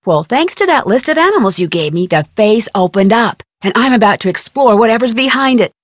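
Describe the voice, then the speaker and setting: A young American amateur detective in an adventure game. Her voice is fairly high and dry, with no room sound. She is plucky, inquisitive and conversational in her delivery. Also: expressive, brisk, dry recording. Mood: friendly, curious. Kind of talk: scripted adventure game dialogue.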